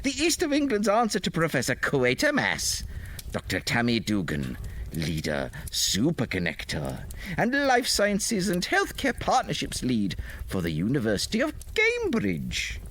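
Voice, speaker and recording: A man's voice: medium pitch, wildly swooping pitch, a little slow, fairly bright tone, slight background noise, heavily acted. A man playing a theatrical, doddery old storyteller of mock-gothic tall tales, hammy and dramatic. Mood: grandly solemn.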